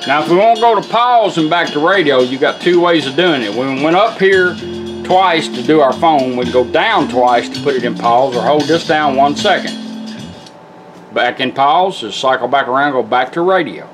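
A man's voice: a little high, lively intonation, normal speed, poor audio. A middle-aged man giving an informal, plain-spoken hands-on product demonstration. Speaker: vintage car radio restorer demonstrating a product. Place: indoor workshop bench. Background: guitar music from the radio at times.

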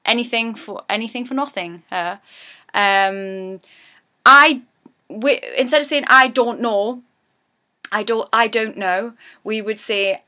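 Chatty Geordie woman with a bright voice. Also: on the high side, takes it slowly. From Newcastle, England. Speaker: vlogger teaching dialect. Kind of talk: casual dialect lesson.

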